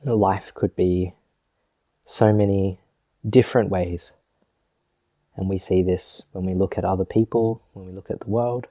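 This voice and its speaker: Slow, deep-voiced man guiding meditation. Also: fairly even intonation, dry recording, studio quality. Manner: gentle, measured, with long pauses. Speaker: meditation teacher. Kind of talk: guided meditation.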